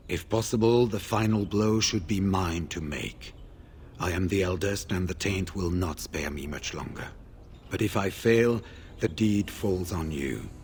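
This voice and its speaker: A man playing a grave, weary older warden in a video game, speaking in a formal, measured way. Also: fairly low pitch, a little mellow, a little hiss or hum, natural voice. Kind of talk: scripted video game dialogue.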